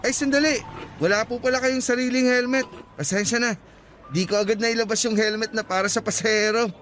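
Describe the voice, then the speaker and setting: A polite young rider, very high voice. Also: male voice, fairly even intonation, fairly quick, a bit bright, a little hiss or hum. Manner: courteous, deferential. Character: polite young male motorcycle taxi rider. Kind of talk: radio drama acting.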